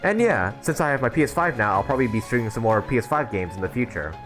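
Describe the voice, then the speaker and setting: A man's voice: mid-range voice, expressive, quick pace, a bit bright, faint music behind. A young man giving casual live stream commentary on a game, informal and thinking aloud as he plays.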